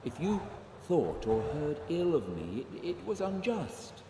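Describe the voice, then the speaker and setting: An actor playing a dying Antarctic explorer in a radio play, earnest and solemn, speaking his farewell in halting phrases. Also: fairly high pitch, big pitch swings. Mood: wounded, pleading. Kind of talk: radio drama monologue. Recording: roomy long reverb, faint noise.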